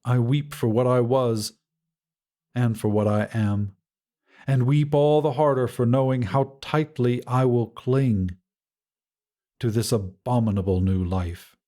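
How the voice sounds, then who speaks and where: A man narrating first-person fiction in a measured, solemn and dramatic way. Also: medium pitch, fairly even intonation, mellow. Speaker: audiobook narrator.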